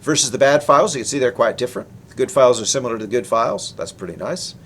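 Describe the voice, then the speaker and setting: A man's voice: mid-range voice, racing, a bit bright, a little hiss or hum. A man presenting research in a technical lecture, explaining in an informal, conversational way. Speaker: researcher presenting his work.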